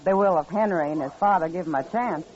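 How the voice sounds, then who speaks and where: A woman's voice: fairly low pitch, fast, darkish tone, natural voice. A woman plays a concerned frontier confidante in an old radio western. She is plain-spoken and familiar, heard on a dull lo-fi recording. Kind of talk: 1950s radio western acting.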